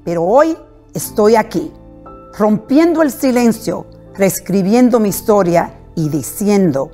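Slow-speaking middle-aged woman host, music under. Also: mid-range voice, animated, warm tone. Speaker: talk show host. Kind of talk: talk show interview. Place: talk show studio set.

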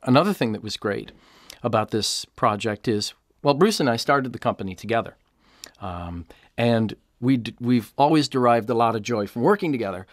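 A man's voice: medium pitch, lively intonation, normal speed, dry recording. A middle-aged man talking casually in an interview as an audiobook actor, recorded in a small studio. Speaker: audiobook actor, company co-founder.

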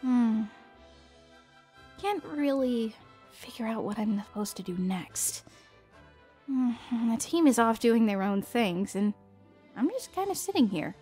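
Lady doing a spirited pirate girl detective, highish breezy voice, expressive, audio drama w/ music under it. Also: slow, pauses often. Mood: pensive. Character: helpful, spirited young woman pirate detective. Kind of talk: fan audio drama acting.

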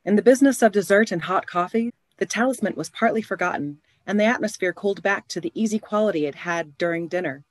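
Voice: mid-range voice, fast, hardly any pauses, no room sound. A narrator reading scripted storytelling for a radio play.

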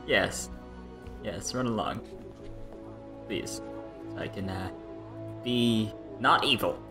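A man's voice: fairly low pitch, slow, over music. A man voicing a giddy, lonely middle-aged mad scientist with a rambling, awkwardly chipper manner in an improvised comedic game dub. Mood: sulky, menacing.

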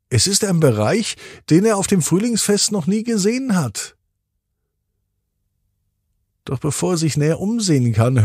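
Slow, lively male bedtime storyteller, slightly husky. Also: medium pitch, no room sound, studio quality. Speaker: children's podcast host and storyteller. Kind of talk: narrating a bedtime story for children.

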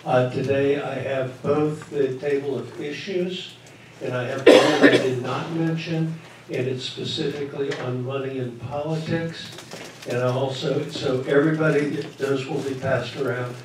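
A man's voice: mid-range voice, steady tone, measured pace, crowd noise. An older man making an earnest, persuasive political appeal at a meeting. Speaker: meeting attendee. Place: large meeting hall indoors. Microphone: recorded from far camera. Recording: echoey hall from far off.

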